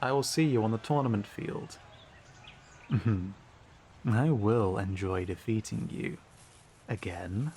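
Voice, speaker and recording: A man's voice: on the low side, slow pace, pauses often, a little mellow, a little hiss or hum. A man playing a smug, scheming, haughty knight in a fantasy audio roleplay, with a pompous, mocking drawl. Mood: smug menace.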